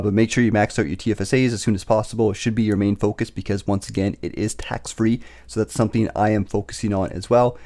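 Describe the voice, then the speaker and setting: A young man in his twenties with a raspy voice, still getting over being sick, giving a casual investing update from his bedroom into a desk mic. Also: fairly low pitch, dark tone. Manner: quick, filler-heavy talk. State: recovering from illness, slight cough. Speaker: investing YouTuber. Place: bedroom, indoors. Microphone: desk microphone on boom arm.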